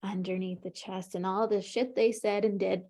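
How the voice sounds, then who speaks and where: A woman with a mid-range voice leads an emotional tapping session. She calls out tapping points and gives short phrases for her client to repeat. She stays calm and encouraging throughout. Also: mid-range voice, moderate pace. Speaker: EFT tapping coach.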